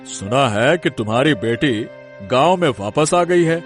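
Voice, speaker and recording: A man's voice: mid-range voice, lively intonation, measured pace, faint music behind, natural voice. A man playing a stern, domineering, vengeful village big man in a cartoon story, scolding and threatening. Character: menacing, vengeful village big man scheming against a young woman. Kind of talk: Hindi cartoon story acting.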